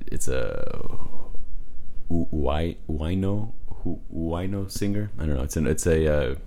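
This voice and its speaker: A man with a deep voice co-hosting a podcast in casual chat, rambling and restarting his sentences. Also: lively intonation, unhurried, dark tone. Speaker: podcast co-host.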